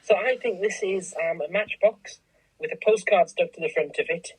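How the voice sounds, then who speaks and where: A woman's voice: fairly low pitch, moderate pace, darkish tone, husky, no echo, poor audio. A woman bantering casually and wryly as co-host of a craft show.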